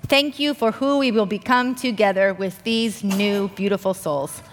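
A woman pastor reciting liturgy and leading a prayer in a measured, solemn manner. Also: mid-range voice. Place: large church sanctuary. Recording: church hall reverb with congregation.

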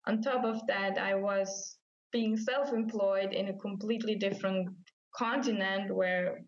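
A woman's voice: medium pitch, steady tone, measured pace. A woman from Austria with an Austrian German accent, a native German speaker telling her story as an interview guest and acting out her own past thoughts. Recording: slightly dull lo-fi sound.

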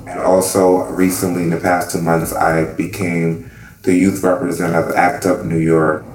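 Deep-voiced man from the Bahamas, reflective. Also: reverberant, slight background noise, rough recording. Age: 30s. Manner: earnest and reflective, with frequent fillers. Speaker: interviewee. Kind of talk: oral history telling.

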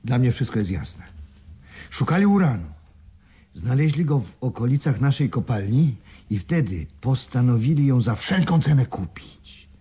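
An actor in a Polish radio play playing an irascible, sarcastic old business partner who scolds bitterly. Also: male voice, medium pitch, very animated, normal speed, mellow, breathy edge. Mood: bitter, mocking.

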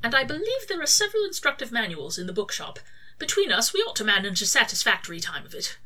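Prim, fussy male angel for a story reading, played by a woman: formal, dignified, easily indignant, crisply bright. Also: fairly high pitch, very animated, fast talker, pitched above the performer's own voice, exaggerated voice. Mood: composed. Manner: formal, proper, mildly indignant.

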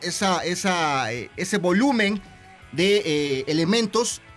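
Higher-voiced formal male guest. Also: expressive, fairly bright tone. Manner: formal, measured, with hesitations. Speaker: guest, broadcaster director. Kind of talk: studio interview. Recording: faint music behind.

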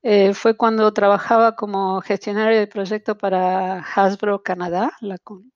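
A woman's voice: mid-range voice, little pitch movement, measured pace, darkish tone, no echo. Woman, Argentine accent, interview guest, hesitant, dull lo-fi sound, dry. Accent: Argentine Spanish. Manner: hesitant, many fillers and restarts. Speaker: guest, translation-tool specialist. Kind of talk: interview over video call.

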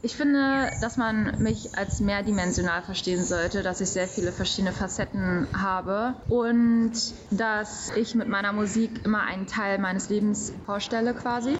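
A woman's voice: mid-range voice, normal speed. Female rapper from Berlin presenting herself, muffled sound with noticeable ambience. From Berlin, Germany. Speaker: rapper, guest. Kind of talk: self-introduction. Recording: dull recording, clear background noise.

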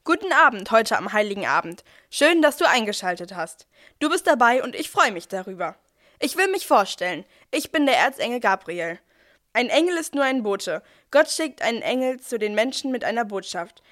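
An eager messenger angel, voiced by a woman, with a fairly high voice. The figure speaks to the listener in plain, friendly words, narrating a nativity radio play. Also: sing-song, moderate pace, fairly bright tone.

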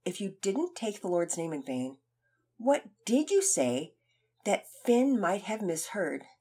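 A woman voicing a calm, serious male Sunday school teacher who speaks evenly and patiently. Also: medium pitch, slightly rough, heavily acted. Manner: calm, measured.

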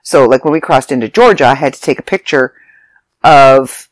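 A stuffy, slightly raspy storyteller rambling through a casual travel story, circling back and correcting themselves as they go. The voice sits in a middling register. It is recorded close and dry. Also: mid-range voice, moderate pace, no room sound, low-quality recording. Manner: chatty, rambling, self-interrupting. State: congested, raspy from allergies. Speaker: vlogger. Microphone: close mic, audio only.

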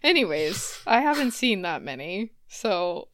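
A woman's voice: medium pitch, sing-song, measured pace, a bit bright, slight background noise. A woman, a podcast co-host, chatting casually and playfully and often laughing as she talks. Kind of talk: casual banter between co-hosts.

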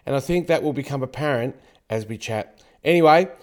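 Brief: man, podcast host, loud and chatty, mid-range voice. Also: medium pitch, fairly quick, roomy sound. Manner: loud, self-described loudmouth. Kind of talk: casual interview chat.

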